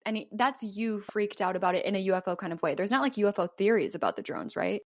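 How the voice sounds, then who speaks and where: A woman's voice: medium pitch, fast, dry recording. Casual woman podcaster with a dry, close sound. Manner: informal, conversational, dramatizes plot details. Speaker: podcast co-host. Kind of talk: casual story retelling with banter.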